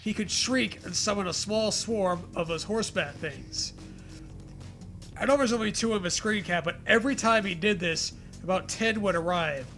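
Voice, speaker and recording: A man with a high, bright voice reads a story aloud as a comedy co-host. He keeps stepping out of the text for casual, profane riffs. Light music plays behind him. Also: moderate pace. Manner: jokey, sweary, breaks off to riff.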